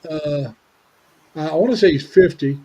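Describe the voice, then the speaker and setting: Rambling seventy-year-old man, husky and mellow. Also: a little high. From Indiana, USA. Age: 70s. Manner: rambling, halting with many restarts. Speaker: livestream host. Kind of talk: casual livestream chat. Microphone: video call.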